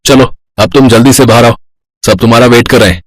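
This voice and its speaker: An actor playing an easygoing, teasing young husband in a cartoon story. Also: male voice, mid-range voice, a little fast, a little hiss or hum, natural voice. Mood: reassuring.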